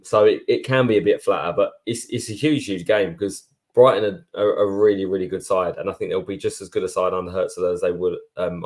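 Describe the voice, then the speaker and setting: A man's voice: low-pitched, a little mellow. A man co-hosting a podcast, talking in a chatty, blunt and matey way. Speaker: podcast co-host. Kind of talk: casual chat.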